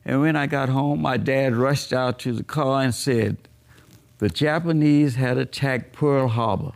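A man in his eighties, born in the Bahamas, telling his life story slowly and reflectively in an oral history interview. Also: mid-range voice, creaky. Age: 80s.

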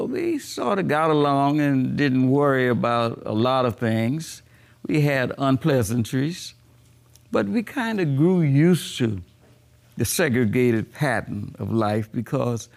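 A man in his eighties, born in the Bahamas, telling his life story slowly and reflectively in an oral history interview. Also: medium pitch, gravelly. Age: 80s.

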